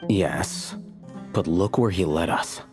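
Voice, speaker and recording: An actor playing a calm, scholarly male investigator in a video game, formal and deliberate. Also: sits low, music in the background. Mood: calm, assured.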